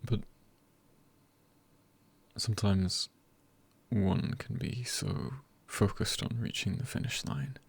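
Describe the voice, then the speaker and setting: A man speaking softly in an ASMR style, hushed and often near a whisper, giving gentle encouragement in deliberate, spaced-out phrases with a breathy, husky voice. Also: lowish voice, no echo. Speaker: ASMR creator. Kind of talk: ASMR, soft-spoken encouragement.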